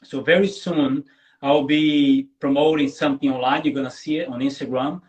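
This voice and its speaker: A man's voice: mid-range voice, fairly even intonation, normal speed, rough recording. A man whose first language is Portuguese, a guest in a live online interview, talking earnestly and often checking in with "right?". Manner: earnest, repeats words, tags lines with "right?". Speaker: guest content creator.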